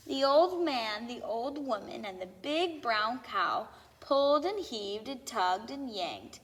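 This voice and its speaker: Slow, highly animated woman reading a children's story. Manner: expressive, theatrical emphasis on repeated lists and sound words. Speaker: storybook reader. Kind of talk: children's story read-aloud. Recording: long hall-like reverb.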